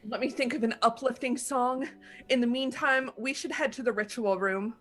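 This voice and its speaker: Woman as high-voiced, helpful sorority sister. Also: normal speed, fairly bright tone, soft background music. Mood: upbeat. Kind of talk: dramatic reading of a script.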